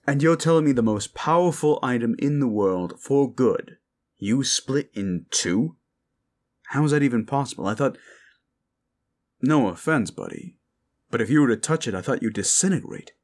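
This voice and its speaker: A man's voice: mid-range voice, animated, moderate pace, darkish tone. A man voicing a sceptical fantasy companion with a wry, questioning manner in an improvised ASMR roleplay. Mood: incredulous.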